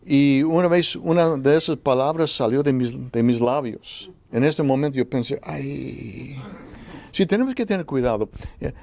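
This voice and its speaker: A man speaking on a church conference panel about preaching, with many pauses and restarts. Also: medium pitch, vocal fry, a little mellow. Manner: hesitant, frequent restarts. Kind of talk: panel discussion.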